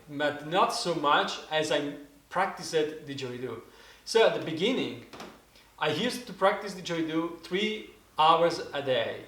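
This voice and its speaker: Man, forties, Italian accent, slow and halting, slightly high voice, echoey room. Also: a bit bright. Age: 40s. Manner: halting, searching for words, many restarts. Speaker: didgeridoo teacher. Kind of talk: casual spoken lesson.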